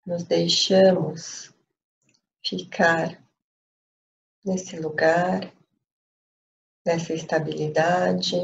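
Brazilian lady doing guided meditation, slow n calm w pauses, lowish voice, bit of background noise. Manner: calm, unhurried instruction with pauses. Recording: dull lo-fi audio, faint noise.

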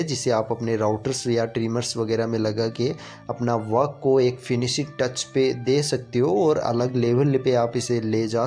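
A man's voice: medium pitch, warm tone. A man giving a rambling voice-over review of woodworking tools, repeating himself often. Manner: rambling, repetitive filler-heavy explanations. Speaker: product reviewer.

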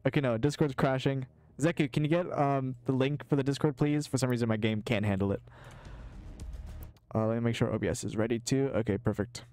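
A man's voice: mid-range voice, steady tone, fairly quick, darkish tone. A young man around twenty, chatting casually with his live-stream chat while playing a game, reading messages aloud and reacting to the action. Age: young adult. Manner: chatty, reads chat aloud, swears and yelps at sudden enemies. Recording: game music playing under the voice.

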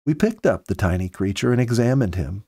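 A man in his fifties reading a story aloud with earnest, measured, dramatic phrasing, close to a studio microphone. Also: lowish voice, animated, warm tone, slightly rough, dry recording. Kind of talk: expressive audiobook-style story narration. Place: small home study, indoors. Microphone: close studio mic with pop filter.

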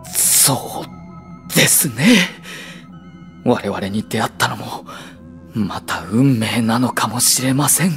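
A man's voice: medium pitch, expressive, a bit bright, soft background music. A male actor playing a polite, hesitant alien second-in-command who serves his captain loyally in an anime audio drama. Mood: resigned, dutiful. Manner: polite, measured, deferential.

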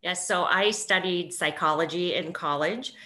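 A woman answering as a panelist over a video call, steady and direct. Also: fairly low pitch, unhurried.

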